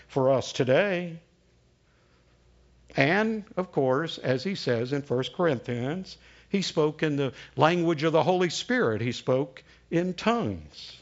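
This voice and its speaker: A man's voice: a little high, muffled recording. A man in his sixties with a Southern American accent, preaching a sermon as a pastor in a folksy storytelling way. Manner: folksy storytelling with frequent uh fillers.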